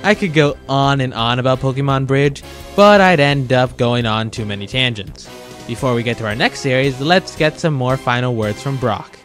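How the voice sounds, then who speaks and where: Male host, casual review narration, wry and jokey, average pitch, guitar music prominent behind. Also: normal speed, fairly bright tone. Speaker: host of a top-list video.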